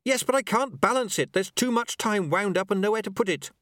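A man's voice: sits high, lively intonation, fairly quick, a bit bright, no echo, clean studio sound, pitched above the performer's own voice. A man voicing an earnest, anxious young male novice in an audiobook, hesitant and eager. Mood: anxious, apologetic. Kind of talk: audiobook character voice.

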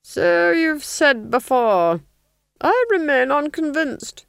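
A domineering, cruel Duchess from a storybook. The voice is high and shrill, swooping wildly in pitch, with haughty, biting words drawn out slowly. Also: female voice, very animated, creaky, no room sound, exaggerated voice. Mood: scornful. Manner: haughty, slow, biting delivery. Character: imperious, cruel, menacing fat Duchess, domineering villain.

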